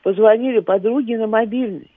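A woman's voice: a little high, a little fast, dry recording. Very old woman on a phone line. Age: 90s. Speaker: caller. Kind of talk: phone-in chat. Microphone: telephone.

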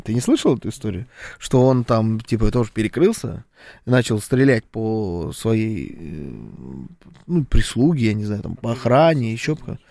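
A man's voice: medium pitch, dry recording, studio quality. A man giving casual political commentary, reading out viewer comments with sarcasm and frequent hesitations. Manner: animated, sarcastic, talks with hesitations.

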